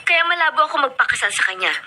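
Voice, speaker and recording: Casting: principled young Filipina radio drama heroine, respectful yet firm, higher-pitched, expressive, noisy recording. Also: female voice, racing. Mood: firm, defiant. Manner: polite, firm, code-switching into English. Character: principled young woman, respectful but firm daughter figure.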